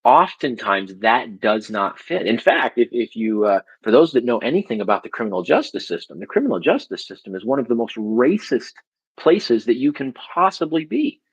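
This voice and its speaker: Male co-host, husky mid-pitched voice, dull lo-fi recording, stammers at starts of thoughts. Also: big pitch swings, normal speed. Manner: repeats words when starting thoughts, reflective. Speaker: podcast co-host. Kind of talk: conversational interview.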